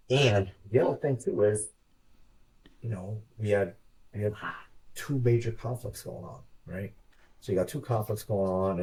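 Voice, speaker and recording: A man's voice: fairly low pitch, a little mellow, low-quality recording. A man in his fifties, a retired Army officer, talking casually as an interview guest over a video call, repeating words as he thinks.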